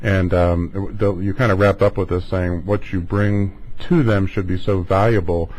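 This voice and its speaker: A man's voice: low voice, flat delivery, moderate pace, darkish tone, low-quality recording. A man hosting a conversational interview, talking in long, wandering questions. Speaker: interview show host.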